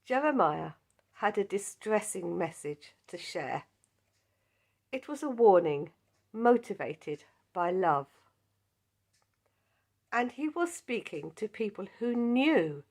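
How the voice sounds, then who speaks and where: Slow-speaking croaky-voiced woman teaching Bible study. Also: medium pitch. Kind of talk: scripted Bible lesson.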